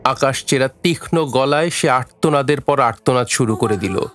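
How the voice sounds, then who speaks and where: A man narrating a scripted audio drama story in a measured, literary reading style. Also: medium pitch, a bit bright, soft background music.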